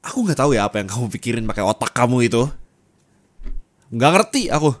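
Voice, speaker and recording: A man's voice: mid-range voice, very animated, quick pace, natural voice. A young adult man playing a husband talking intimately and colloquially to his wife in an audio roleplay, close on the microphone. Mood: angry, scolding. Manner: intimate, colloquial, turning from soft to scolding. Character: young husband, affectionate then angrily scolding his wife. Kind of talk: Indonesian ASMR roleplay acting, addressed to the listener. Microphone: close studio microphone.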